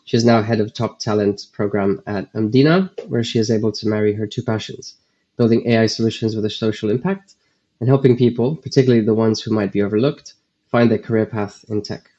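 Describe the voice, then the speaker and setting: A male podcast host with a low, mellow voice reads his introduction, then asks questions with some hesitation. The recording sounds dull and lo-fi. He speaks in a relaxed way. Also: fairly quick. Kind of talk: scripted intro and interview questions.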